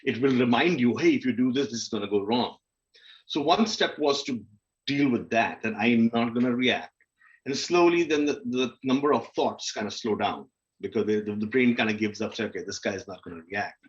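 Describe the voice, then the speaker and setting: A man's voice: medium pitch, a little fast. Muffled recording of a candid, conversational man. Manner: informal, self-questioning, acts out inner dialogue. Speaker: guest speaker on a literary festival panel. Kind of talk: candid conversational panel talk about personal experience.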